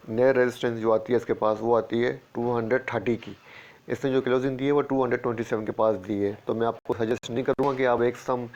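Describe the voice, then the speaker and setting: A man talks through stock charts with a sore throat. His delivery is flat and monotone, and he repeats each price level. The recording sounds dull and lo-fi. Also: mid-range voice. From Pakistan. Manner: steady, repeats price levels, calls listeners "janab". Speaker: stock market analyst. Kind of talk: screen-share chart walkthrough.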